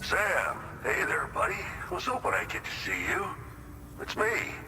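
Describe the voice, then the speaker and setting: A man's voice: high voice, wildly swooping pitch, unhurried, loud music behind the voice, full character voice. A man acting as a chummy, teasing depot worker in a video game, talking in a familiar, colloquial way. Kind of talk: scripted game dialogue.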